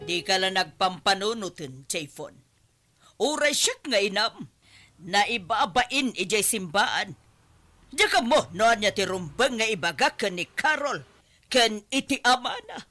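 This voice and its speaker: A woman's voice: mid-range voice, lively intonation, measured pace, sharp tone, soft background music. A woman playing a stern middle-aged mother scolding her grown son in a radio drama. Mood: disappointed, stern.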